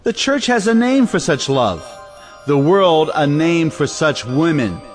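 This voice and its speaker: A man's voice: a little high, very animated, a little slow, faint music behind. A man playing a self-righteous, pompous clergyman in an audio play. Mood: righteous scorn. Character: sanctimonious, self-righteous clergyman in society comedy. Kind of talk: audio stage play acting.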